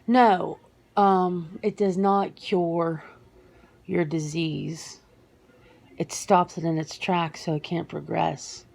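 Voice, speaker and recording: A woman playing a guarded, earnest werewolf answering interview questions in an ASMR roleplay, speaking haltingly and matter-of-factly. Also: lowish voice, steady tone, gravelly, darkish tone, heavily acted. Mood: matter-of-fact, reflective. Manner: hesitant, matter-of-fact, halting with pauses.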